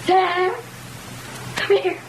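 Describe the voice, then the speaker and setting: An actress playing a bitter, mocking wife in an old-time radio drama, with a sharp, taunting way of talking. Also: female voice, very high voice, flat delivery, very slow, a little hiss or hum, heavily acted, poor audio. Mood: alarmed. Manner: sharp, taunting, working-class phrasing. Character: bitter, mocking young-ish wife, scornful of husband.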